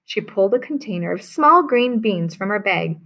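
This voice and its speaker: A woman reading a children's picture book aloud in a lively, expressive way. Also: mid-range voice, moderate pace. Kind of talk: read-aloud storybook narration.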